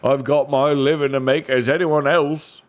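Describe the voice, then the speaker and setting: A man's voice: mid-range voice, flat delivery, normal speed, pitched above the performer's own voice, lightly acted. A man doing Pug, a wheedling pirate slaver. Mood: gruff, coaxing. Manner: wheedling, coarse, folksy. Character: greedy, wheedling male slave-dealer pirate.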